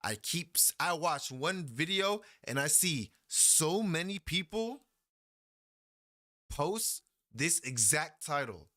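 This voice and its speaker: A man's voice: fairly high pitch, big pitch swings, slow pace, sharp tone. A young man giving a casual, slangy reaction commentary as a YouTuber, laughing often and rambling into asides.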